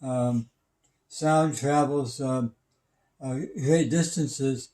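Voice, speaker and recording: An older man in his seventies explaining things casually, in a halting, stammering, matter-of-fact way. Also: mid-range voice, fairly even intonation, dark tone. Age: 70s. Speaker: retired systems engineer and radio hobbyist.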